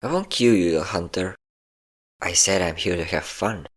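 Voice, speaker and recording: A man's voice: low voice, normal speed, husky. A man voicing a possessive, sadistic and flirtatious vampire captor in an intimate roleplay audio drama, teasing and commanding. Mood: taunting, playful. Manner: teasing, commanding, theatrically smug.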